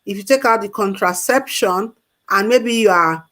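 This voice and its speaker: A woman's voice: medium pitch, moderate pace, sharp tone. A woman on a call-in talk show giving health advice in an emphatic way, repeating her key points. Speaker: health expert guest.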